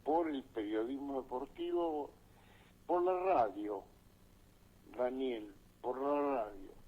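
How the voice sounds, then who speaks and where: A man's voice: medium pitch, husky. A man in his eighties from Uruguay, a sports journalist reminiscing in a radio interview, lingering over his words and repeating phrases. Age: 80s. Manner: slow, savoring, repeats phrases warmly. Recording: dull lo-fi archived radio interview.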